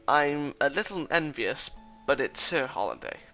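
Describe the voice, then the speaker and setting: A man's voice: on the high side, lively intonation, a little slow, pushed and bright, full character voice. A man reading a thoughtful teenage schoolboy's lines and inner narration in a plain, reflective way, with faint music behind.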